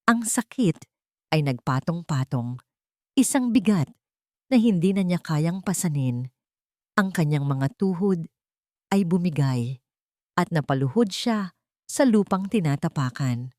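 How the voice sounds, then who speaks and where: A woman's voice: lowish voice, a little mellow, no echo, studio quality. A woman narrating an audiobook, reading a scripted love story in a dramatic storytelling style.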